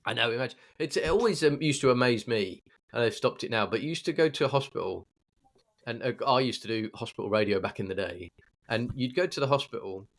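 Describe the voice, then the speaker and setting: A man's voice: medium pitch, expressive, fast talker, a bit bright. A man with a British accent chatting casually as a podcast co-host, given to jokey, sarcastic banter. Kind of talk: casual chat.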